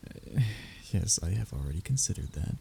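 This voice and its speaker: A man's voice: deep voice, sing-song, normal speed, vocal fry, mellow, slight background noise, heavily acted. A man playing a young royal heir in a roleplay audio drama, formal and pompous in his wording but often faltering into nervous stammers as he addresses the listener. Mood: persuasive.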